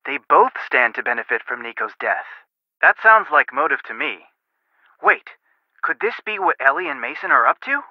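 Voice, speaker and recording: An actor playing a helpful, wry young amateur detective giving earnest advice in a video game. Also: male voice, a little high, no room sound, muffled recording. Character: helpful, sharp young male amateur detective advising by phone.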